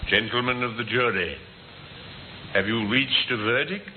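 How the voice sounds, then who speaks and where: An actor playing a solemn male judge, speaking formally and gravely in old radio drama style. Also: medium pitch, measured pace, close to an everyday voice. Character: solemn male judge pronouncing sentence in court. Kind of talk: 1950s radio drama acting. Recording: tinny old radio recording, faint noise.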